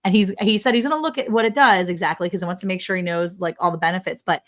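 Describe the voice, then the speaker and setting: A woman co-hosting a livestream, chatting casually and telling animated personal stories in which she quotes other people. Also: lowish voice, rapid-fire. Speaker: livestream co-host. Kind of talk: casual chat with anecdotes.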